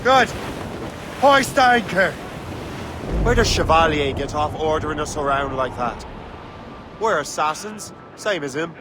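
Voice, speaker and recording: Cocky impatient young assassin captain, game acting, slightly high voice, sardonic, windy noisy background. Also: male voice, animated, unhurried, pauses often. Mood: commanding. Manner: defiant, sardonic, commanding at sea. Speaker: video game protagonist. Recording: wind and sea noise behind.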